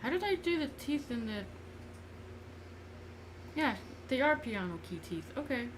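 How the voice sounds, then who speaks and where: A woman's voice: high-pitched, sing-song, a little slow. Woman musing over noisy livestream audio. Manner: musing, thinking aloud in fragments. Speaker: artist livestreaming a drawing session. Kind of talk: casual unscripted drawing commentary.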